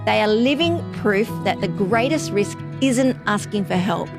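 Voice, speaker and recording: A woman's voice: mid-range voice, animated, measured pace, music in the background. A woman hosting a podcast, encouraging and upbeat with her guests. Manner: upbeat, encouraging, with warm laughs and affirmations. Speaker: podcast host. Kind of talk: scripted intro then interview chat.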